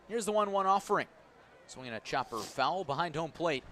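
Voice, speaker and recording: A man's voice: lively intonation, a little fast, a bit bright. A man doing live radio play-by-play of a baseball game, describing the action and stressing the key moments. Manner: descriptive, lifts and stresses as each pitch comes. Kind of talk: live radio sports commentary. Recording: clear outdoor ambient noise behind voice. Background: outdoor ballpark ambience.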